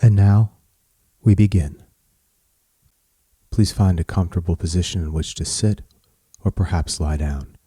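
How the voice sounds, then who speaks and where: A man leading a guided meditation in a measured, soothing manner, with pauses between short phrases. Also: deep voice, warm tone, slightly rough, dry recording.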